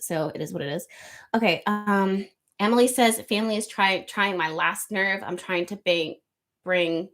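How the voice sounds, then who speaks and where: A woman hosting an astrology livestream, reading viewer comments aloud in a loose, rambling chat with a soft, slightly nasal voice, close to the microphone. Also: on the low side. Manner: chatty, rambling, lots of ums and likes. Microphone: close studio microphone.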